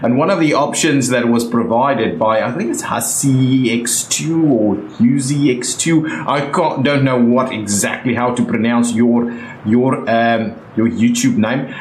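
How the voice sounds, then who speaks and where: A young man with a South African accent talks through his city-building game, thinking aloud and filling his pauses with ums and uhs. His voice sits at an ordinary middle pitch. It is recorded in a room with a noticeable echo and a faint hiss underneath. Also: animated. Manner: chatty, thinking aloud with many fillers. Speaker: gaming YouTuber. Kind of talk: casual game commentary.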